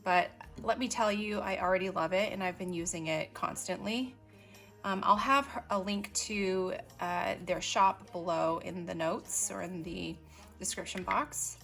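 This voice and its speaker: A woman talks through her hobby supplies in a relaxed show-and-tell style, wandering into small asides and filling pauses with ums. Her voice sits at an ordinary middle pitch. Quiet music plays faintly behind her. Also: gravelly. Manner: chatty, unhurried, lots of ums, asides while working. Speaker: stationery vlogger. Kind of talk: casual show-and-tell narration.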